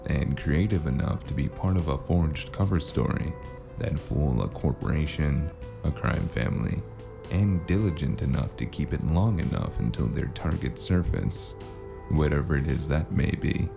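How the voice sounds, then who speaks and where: Very deep voice, gravelly, man, dry wry noir narration, loud music underneath. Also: animated, normal speed. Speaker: narrator and lead character. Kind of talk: noir audio drama narration. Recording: music score playing underneath.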